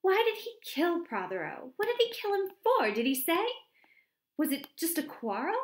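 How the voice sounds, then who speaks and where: Gossipy young secretary, high lilting voice, arch and breathless; woman reader puts her on. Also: expressive, moderate pace, bright, forceful tone. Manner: chatty, breathless, exclamatory. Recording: roomy echo.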